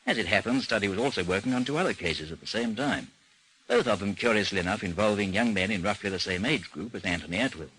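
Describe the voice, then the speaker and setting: An actor playing a composed, dry investigation chief in an old radio drama, speaking and narrating in a formal, understated way. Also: male voice, fairly low pitch, animated, fast, fairly bright tone, husky, poor audio. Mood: measured narrating. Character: composed, dry British chief of a missing persons bureau, narrating his case.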